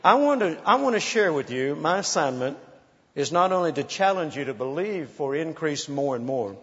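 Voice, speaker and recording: A man's voice: a little high, sing-song, normal speed. A man in his sixties preaching a sermon, urging the crowd to praise and telling playful stories. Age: 60s. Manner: exhorting, calls for praise, playful storytelling. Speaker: pastor. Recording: muffled sound in a large reverberant hall.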